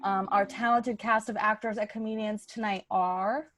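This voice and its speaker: A woman's voice: medium pitch, fairly even intonation, moderate pace, a bit bright. A woman hosting a podcast in a chatty, informal, giggly way. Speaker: podcast host. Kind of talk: casual show introduction.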